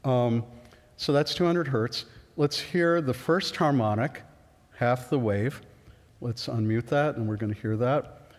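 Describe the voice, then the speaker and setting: A middle-aged man with a low voice giving a public science lecture in an auditorium, explaining step by step with ums and restarts. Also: unhurried. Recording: long auditorium reverb.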